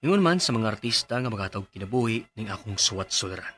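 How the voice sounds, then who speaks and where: Quick, lowish Cebuano man reading aloud. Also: animated, sharp tone. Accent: Filipino, Cebuano. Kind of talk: scripted narration of a listener's letter. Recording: faintly noisy radio broadcast.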